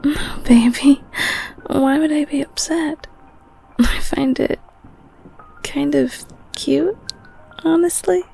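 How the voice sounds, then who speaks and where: A woman voicing a loving, clingy, protective girlfriend in an intimate ASMR roleplay, speaking in a soft whispery voice with tender, gentle cooing. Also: high voice, takes it slowly, creaky, long pauses, soft background music, exaggerated voice, rough recording. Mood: tender, playful. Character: loving clingy girlfriend, secretly eldritch being, soothing and protective. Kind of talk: ASMR audio roleplay, intimate one-sided scene.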